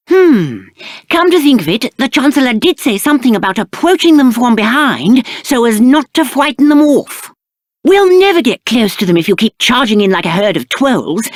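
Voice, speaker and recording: A man's voice: very high-pitched, no room sound, studio quality. A male actor playing a spoiled, cowardly young prince in a video game, with a British accent and haughty, whiny, aristocratic diction. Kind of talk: theatrical video game character acting.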